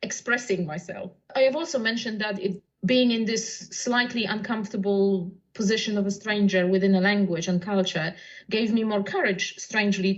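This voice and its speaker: Woman, thoughtful, searching for words, mid-pitched voice, dull lo-fi audio. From Poland. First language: Polish. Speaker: writer and translator. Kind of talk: reflective conversation about language.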